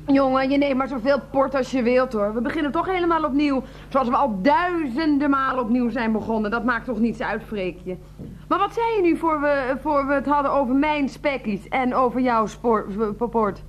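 Woman playing whiny wife, radio play, high voice, pleading, defensive, self-pitying, slight background noise. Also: moderate pace, close to an everyday voice. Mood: wheedling. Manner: pleading, wheedling, indignant.

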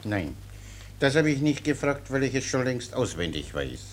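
An actor playing a naive, genial, imperturbable soldier in a German radio play, guileless, unhurried and obliging. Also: male voice, mid-range voice, very animated. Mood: placid, obliging.

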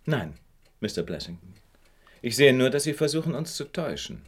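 An actor playing a shrewd, self-assured detective in a radio play, measured and courteous but insistent. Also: male voice, mid-range voice, sing-song, husky. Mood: stern, probing. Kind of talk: German radio play acting.

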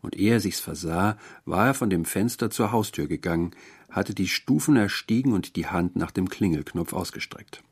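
Low husky male storyteller. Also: normal speed. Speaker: narrator reading a short story. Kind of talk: scripted literary audiobook narration.

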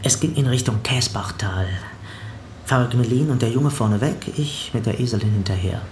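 A man's voice: medium pitch, normal speed, darkish tone, a little hiss or hum, close to an everyday voice. A man acting a dutiful parish helper in a radio drama, giving a meticulous, matter-of-fact witness account. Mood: earnest recounting. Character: dutiful male parish helper recounting to an investigator. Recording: roomy sound with faint background ambience.

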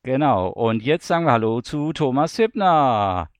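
A man's voice: mid-range voice, sing-song, unhurried, no echo. A man hosting a casual audio interview and putting questions to a guest. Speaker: host.

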